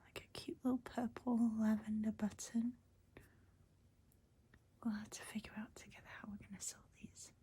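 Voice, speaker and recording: A woman hosting an ASMR video, chatting in a gentle, unhurried whisper and softly repeating words. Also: mid-range voice, flat delivery. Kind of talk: whispered ASMR, chatting while sorting buttons.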